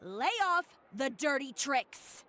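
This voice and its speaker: A woman voicing a cold, haughty stylist in a video game, scornful and deliberately menacing. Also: high-pitched, animated, pushed and bright, a little hiss or hum, exaggerated voice. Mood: cold contempt.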